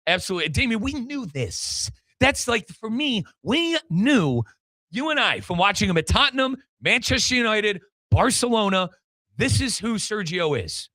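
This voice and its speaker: A man hosts a soccer radio show in a bright, forceful voice that sits a little high. The sound is very dry, and he talks with emphatic, opinionated conviction. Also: big pitch swings, normal speed, dry recording. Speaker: sports radio host. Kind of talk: animated soccer radio talk.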